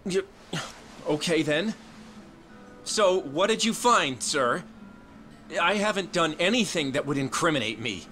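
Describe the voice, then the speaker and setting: An actor playing an anxious, polite young lawyer in a video game, earnest and defensive. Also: male voice, fairly high pitch, lively intonation, a little slow, pauses often, bright, forceful tone, faint music behind. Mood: uneasy, defensive. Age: young adult. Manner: flustered, earnest. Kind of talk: video game cutscene acting, English dub.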